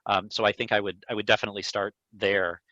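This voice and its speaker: Man with bright voice, dull call audio. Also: on the low side, little pitch movement, no room sound. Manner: measured, explanatory, hedging carefully. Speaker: neuro-ophthalmologist answering patient questions. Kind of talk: medical explanation on a video call. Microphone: video call.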